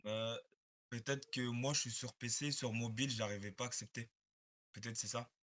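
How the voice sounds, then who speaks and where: A man speaking as a guest in a casual online group discussion, reflective and explaining his experience slowly, step by step. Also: medium pitch, little pitch movement, fairly bright tone.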